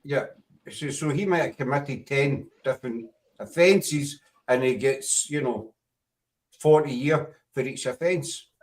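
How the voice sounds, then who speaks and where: Brief: Scottish male host, rambling, self-correcting, mid-pitched, sits back from the mic. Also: unhurried, far from the mic. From Scotland. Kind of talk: casual panel chat.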